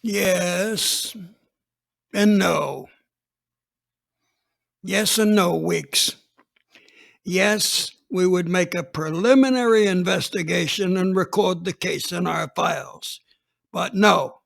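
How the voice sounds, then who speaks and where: A man's voice: high voice, slow, vocal fry, long pauses, a bit bright. A man reading a dramatized first-person story as a police chief, plainspoken and matter-of-fact.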